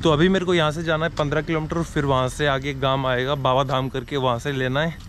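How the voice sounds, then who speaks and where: A man's voice: medium pitch, flat delivery, quick pace, fairly bright tone. A young man walking outdoors and talking casually about his travels, recorded on an action camera held at arm's length. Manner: chatty and curious, asking locals questions. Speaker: travel vlogger. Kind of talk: casual travel vlog narration. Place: outdoors on streets and in a market. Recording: outdoor street noise behind.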